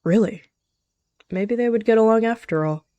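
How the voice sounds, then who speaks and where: A woman's voice: on the high side, normal speed, warm tone, no room sound, muffled recording, pitched above the performer's own voice, lightly acted. A woman voicing a scheming, aloof rogue cat in a roleplay, guarded and curt.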